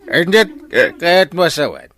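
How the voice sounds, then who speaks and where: A man's voice: fairly high pitch, big pitch swings, moderate pace, fairly bright tone. A man playing a boastful, hot-headed, comical village captain in an Ilocano radio drama, blustering and self-important with a Filipino accent. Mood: boastful, bossy. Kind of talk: comedic radio drama acting.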